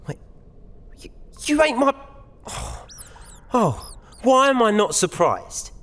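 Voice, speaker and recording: A man's voice: very high voice, sing-song, slow pace, a bit bright, full character voice. A man playing a sarcastic, exasperated young prisoner in an audio drama, recorded with long reverb. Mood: grumbling. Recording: long hall-like cell reverb.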